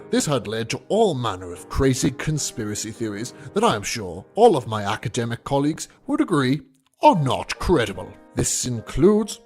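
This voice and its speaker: A man's voice: mid-range voice, a little mellow. A man playing a bookish academic researcher in an audio story, narrating his findings on a legend in formal, scholarly lecture style. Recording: music under the voice.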